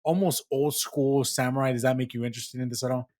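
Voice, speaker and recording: A man hosting a casual gaming podcast, steering the talk with questions in an animated way. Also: medium pitch, quick pace. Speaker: podcast host.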